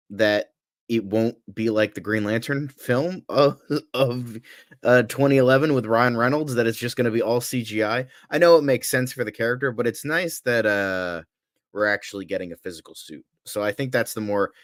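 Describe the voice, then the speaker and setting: A man's voice: medium pitch, no room sound, clean studio sound. A man co-hosting a podcast, chatting like a fan in an enthusiastic, rambling way and repeating himself. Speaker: podcast co-host. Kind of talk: casual fan chat.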